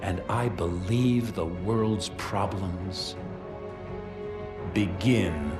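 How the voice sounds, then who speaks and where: A man playing an arrogant, menacing corporate military chief who gives a formal, defiant speech in a deliberate, commanding way. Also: deep voice. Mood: cold, defiant. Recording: cinematic music behind.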